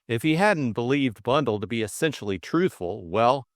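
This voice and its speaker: A man's voice: mid-range voice, lively intonation, moderate pace, dry recording, studio quality. A man narrating a novel as a scripted audiobook, reading expressively.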